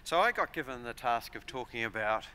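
A man in his forties with a New Zealand accent, giving a measured, explanatory conference talk at a lectern with frequent fillers and restarts. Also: medium pitch, lively intonation, sharp tone, clear, resonant tone. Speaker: conference speaker. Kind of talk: conference presentation. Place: large conference hall, at a lectern. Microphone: lectern microphones.

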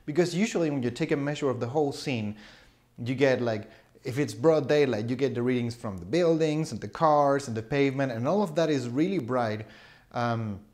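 A man's voice: mid-range voice, darkish tone. A man in his thirties chatting casually to camera in a small room, explaining things with fillers and little laughs. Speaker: photography vlogger. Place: small indoor room with shelves. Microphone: camera in front, close framing.

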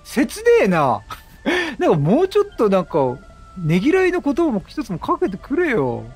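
A man giving casual video game commentary, chatty and jokey, reacting out loud with exclamations. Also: very high voice, huge pitch swings, normal speed, breathy edge, soft background music. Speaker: game streamer.

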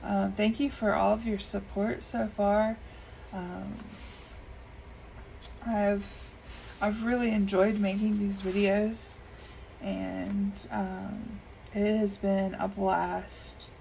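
Scratchy gravelly woman rambling slow while coloring, faint hiss behind. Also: medium pitch, flat delivery, warm tone. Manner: unhurried, rambling, many ums. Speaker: coloring video creator. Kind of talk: casual chat while coloring. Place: indoors at a desk. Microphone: overhead camera above desk.

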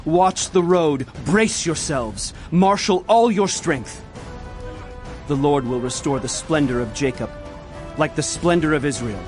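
A man's voice: fairly high pitch, lightly acted. A man playing a prophet narrator, a solemn and urgent herald of doom, in an emphatic dramatized scripture reading.

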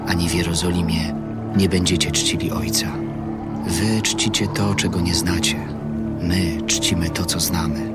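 An actor playing a calm, solemn prophet and teacher in an audio Bible drama, speaking in a measured way. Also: male voice, sits low, lightly acted. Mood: solemn, earnest. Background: music.

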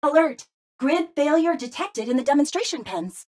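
A female corporate announcement system from a video game. It speaks in a high voice, polite and formal, utterly unfazed as it delivers scripted safety notices. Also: lively intonation, normal speed, a little mellow. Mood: alerting. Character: cheerful corporate facility announcement computer, female. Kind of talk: scripted public-address announcements.

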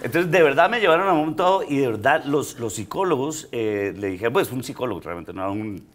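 A middle-aged man from Colombia speaks on a studio talk show set. His pitch sits in a middle range. He tells his story in a loose, halting way, with repeated words and small laughs. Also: animated, slight background noise. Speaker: guest comedian. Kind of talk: casual talk show chat.

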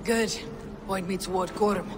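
A woman's voice: medium pitch, steady tone, unhurried, close to an everyday voice. Woman playing a curt, stern Viking warrior woman. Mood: stern, measured. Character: stern, resolute female Viking warrior, young adult. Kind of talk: video game cutscene acting.

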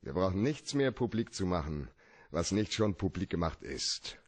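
An actor in a German radio play as a cool, cynical, shrewd private detective with a laconic, deadpan way of talking. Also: male voice, deep voice, normal speed, husky, heavily acted.

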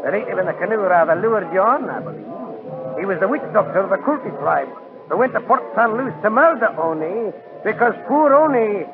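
Smug radio villain, high-pitched, swooping. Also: male voice, very animated, over music. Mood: gloating menace. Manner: mock-courteous, gloating, unhurried formality. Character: smug, sinister villain, genteel male diamond schemer. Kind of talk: 1940s radio acting. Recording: noisy, tinny 1940s radio recording.

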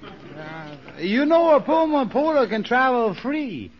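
Dim agreeable sidekick, super high folksy voice, muffled old radio comedy. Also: male voice, sing-song, heavily acted. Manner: folksy, simple, drawn-out agreement. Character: Lightning, dim, agreeable, slow-moving male sidekick. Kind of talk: 1940s radio comedy acting. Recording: dull vintage 1940s radio broadcast.

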